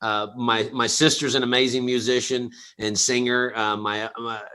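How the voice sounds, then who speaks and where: A middle-aged man hosting a comedy livestream, chatting in a rambling, excitable way with lots of stammered restarts. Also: mid-range voice, moderate pace, smooth. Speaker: comedy show host and tequila promoter. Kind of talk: casual banter on a livestream.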